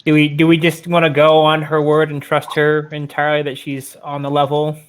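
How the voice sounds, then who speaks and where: A man's voice: a little high, completely flat delivery, fairly quick, smooth. A man playing a tabletop game, chatting casually in an inquisitive, questioning way. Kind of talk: casual in-character discussion.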